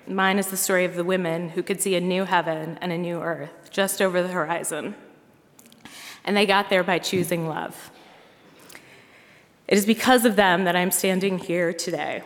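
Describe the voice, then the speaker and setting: A woman giving an earnest personal testimony to a congregation, speaking in rhythmic repeated refrains. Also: on the low side. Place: large church hall. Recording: long reverb of a hall.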